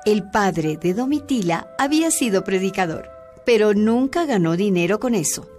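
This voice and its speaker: A woman narrating a radio drama in a calm, explanatory way. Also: medium pitch, moderate pace, soft background music.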